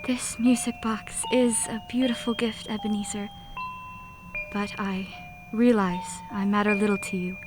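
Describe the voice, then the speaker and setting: A woman plays a heartbroken but resolute young sweetheart in a radio drama. Her voice is fairly high, measured and earnest, over faint music. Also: natural voice. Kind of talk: old-time radio play acting.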